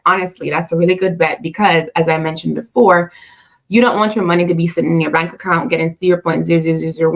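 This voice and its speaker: A woman's voice: on the low side, vocal fry. A woman with a Jamaican accent, hosting a casual, chatty finance explainer with frequent fillers and self-corrections. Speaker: personal finance channel host. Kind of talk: casual explainer talking to camera.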